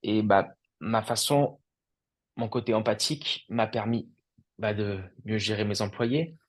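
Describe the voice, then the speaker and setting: A man's voice: lowish voice, unhurried. A young man, an entrepreneur, telling his own story in a loose, chatty way full of fillers. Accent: French. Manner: loose and conversational, lots of fillers. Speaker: entrepreneur guest. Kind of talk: casual testimonial interview.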